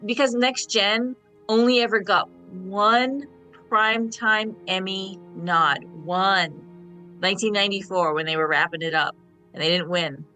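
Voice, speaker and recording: Woman in her 50s, bright punchy voice, casual fan panel chat, really emphatic, says stuff twice. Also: mid-range voice, measured pace, faint music behind. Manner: emphatic, repeats phrases for stress. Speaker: panel guest.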